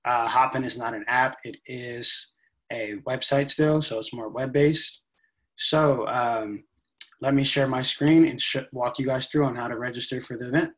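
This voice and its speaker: Young guy on a webcam at home, casual how-to walkthrough, lots of ums, average pitch. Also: moderate pace, poor audio. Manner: relaxed, step-by-step, frequent ums. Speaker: event staff giving a tutorial. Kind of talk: casual screen-share walkthrough.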